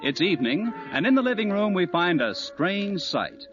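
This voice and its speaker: A man's voice: high voice, very animated, normal speed, highs cut off, music in the background. A man announcing and narrating a 1950s radio sitcom with polished, theatrical scripted delivery. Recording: band-limited old radio recording.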